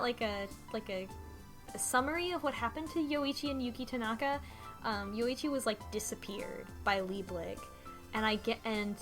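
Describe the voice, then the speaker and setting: A woman co-hosting a talk show, casually retelling a story in a halting, conversational way. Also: fairly high pitch. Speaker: podcast co-host. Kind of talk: casual recap discussion of a story.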